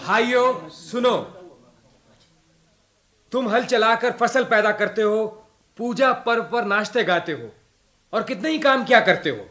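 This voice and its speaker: An earnest young village activist in a radio play. His voice is high for a man, and he exhorts his listeners with conviction. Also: expressive, unhurried, pauses often, bright, forceful tone, heavily acted. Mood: rousing. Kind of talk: Hindi radio drama acting.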